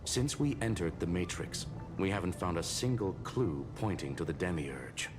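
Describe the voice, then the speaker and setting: Game casting: a coolly logical machine intelligence, very low, clipped and precise. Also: male voice, animated, normal speed. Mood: analytical. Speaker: game character. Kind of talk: video game voice acting. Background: game music.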